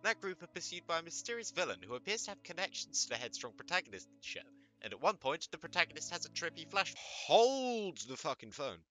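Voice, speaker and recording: Animated, very bright-voiced man, sarcastic commentator. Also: fairly high pitch, fast talker, low-quality recording. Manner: sarcastic, mock-exasperated, sweary. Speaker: host of an anime commentary video channel. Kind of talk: scripted comedic commentary. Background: music under the voice.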